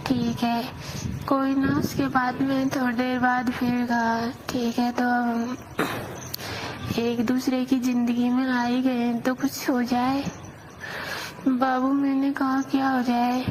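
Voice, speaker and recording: A woman plays a young newlywed bride confiding in her lover in an audio drama. Her voice is fairly high and unhurried, with little melody and a hesitant, plaintive manner. Clear noise sits behind this amateur call-style recording. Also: little pitch movement. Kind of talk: intimate phone-call storytelling in audio drama.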